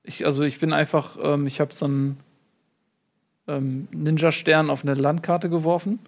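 Guy doing a mumbly fortune cookie writer, rambling deadpan, kinda high voice. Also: moderate pace, lightly acted. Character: male fortune cookie author, muddled and rambling. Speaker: mock interview guest. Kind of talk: improvised comedy sketch.

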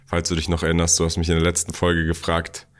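A man's voice: low voice, monotone, quick pace. A man who grew up in Germany, talking casually as a podcast co-host.

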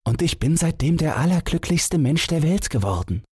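A man's voice: fairly high pitch, expressive, normal speed, mellow, breathy edge, dry recording, studio quality, pitched above the performer's own voice. A man voicing a cheerful, naive young vagabond in a fairy tale audiobook, guileless and blissfully happy.

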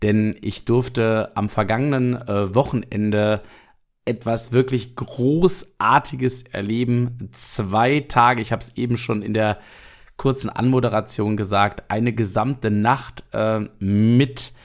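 A young man hosting a solo podcast, talking straight to the listener in an energetic, motivational way while still recovering from an illness. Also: lowish voice, slow pace. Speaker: podcast host.

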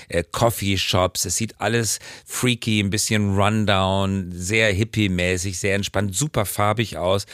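Low-voiced middle-aged man co-hosting a podcast. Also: fairly bright tone, no echo, studio quality. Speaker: podcast co-host. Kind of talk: casual conversational podcast talk.

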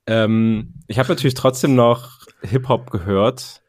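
A man's voice: mid-range voice, a little slow, no room sound. A man co-hosting a podcast, chatting casually about music in slangy, colloquial speech. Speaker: podcast co-host. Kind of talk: casual music review chat.

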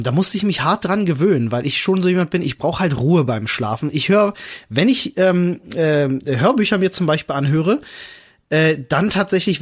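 A man co-hosting a casual podcast, talking in a wordy way with many digressions and hesitations. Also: on the high side. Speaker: podcast co-host.

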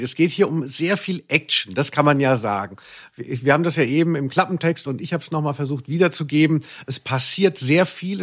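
A man hosting a podcast in casual, chatty style, rambling and correcting himself as he goes. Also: fairly high pitch. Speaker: podcast host.

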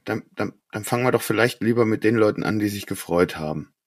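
Dry-recorded, lowish-voiced male host. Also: fast, dry recording. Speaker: podcast host. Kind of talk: casual, improvised hosting.

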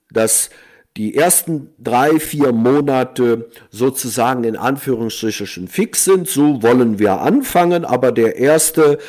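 A man guest on a podcast, explaining his consulting work slowly and deliberately, with frequent fillers. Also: medium pitch, a little mellow. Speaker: guest, online marketing consultant.

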